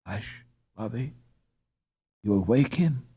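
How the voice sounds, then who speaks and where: A man voicing a hardworking poor mother who speaks calmly and in hushed tones. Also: medium pitch, takes it slowly, slightly rough, dry recording, full character voice. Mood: soothing. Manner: calm, hushed.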